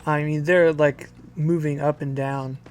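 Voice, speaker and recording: A man's voice: fairly high pitch, steady tone, unhurried, a little mellow, smooth. A man running a tabletop game as game master, casually explaining rules and rulings to the players.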